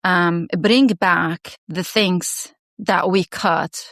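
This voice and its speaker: Middle-aged woman from syria, guest on a chat show, thinks out loud with lots of restarts, dry close sound. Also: on the low side, fairly even intonation, extremely slow, no echo. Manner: warm, reflective, many restarts and fillers, laughs often. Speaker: guest, education founder and former principal. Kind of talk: casual interview conversation.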